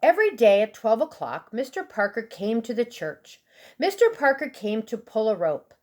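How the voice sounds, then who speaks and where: A woman reading a children's storybook aloud expressively, with deliberate, dramatic emphasis for young listeners. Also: fairly high pitch, big pitch swings, a little mellow. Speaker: storybook reader.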